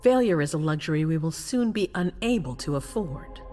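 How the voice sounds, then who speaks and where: A woman playing a cold, calculating female vampire prince in a video game, with a regal, controlled and sometimes hushed delivery. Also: low-pitched, animated, moderate pace, soft background music. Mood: imperious, menacing. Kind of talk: dramatic video game acting.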